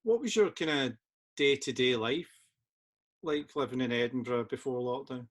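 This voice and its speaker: A man's voice: medium pitch, moderate pace. A man asking brief, plain interview questions from away from the microphone.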